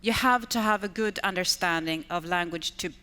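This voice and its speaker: Swedish woman in her 50s giving a conference talk, smooth clear voice, long hall echo. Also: lowish voice, moderate pace, bright, forceful tone. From Sweden. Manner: enthusiastic, stacks repeated intensifiers. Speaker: conference speaker, education manager. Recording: reverberant hall with long decay.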